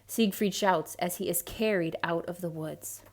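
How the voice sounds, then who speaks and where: A woman reading a storybook aloud to children in a theatrical, expressive way, with hushed asides to young listeners. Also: lowish voice, normal speed.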